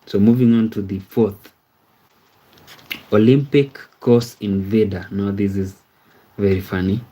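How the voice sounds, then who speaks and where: Low, flat-voiced man in his thirties. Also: measured pace, a little hiss or hum, rough recording. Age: 30s. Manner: rambling, repeats words, often asks "you see?". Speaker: livestream host. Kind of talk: casual livestream chat reading viewer comments.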